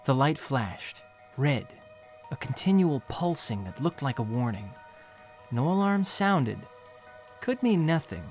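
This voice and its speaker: Guarded young laborer-narrator. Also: female voice, a bass voice, big pitch swings, unhurried, darkish tone, full character voice. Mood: suspenseful narration. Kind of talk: scripted first-person narration and audio drama acting. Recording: prominent music behind voice.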